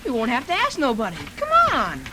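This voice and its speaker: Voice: medium pitch, wildly swooping pitch, fairly quick. A performer playing an eager, nosy young boy in an old radio drama, colloquial and pushy. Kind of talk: 1940s radio acting. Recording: noisy old radio recording.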